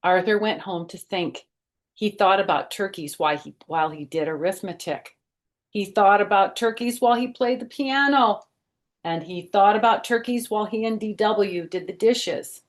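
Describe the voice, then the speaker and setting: A woman's voice: medium pitch, normal speed. A woman hosting storytime, reading a picture book aloud expressively and adding chatty asides.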